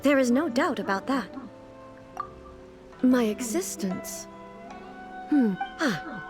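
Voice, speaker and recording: A woman playing a dignified, earnest, naively puzzled ruler in a video game, speaking in a formal, deliberate way. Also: fairly high pitch, expressive. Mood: resolute. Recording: music playing behind the voice.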